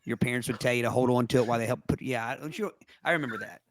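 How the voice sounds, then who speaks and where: A man's voice: medium pitch, very fast, no room sound, studio quality. Chatty podcast man with a lingering cough. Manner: chatty, storytelling, laughs easily. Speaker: podcast co-host. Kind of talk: casual podcast chat.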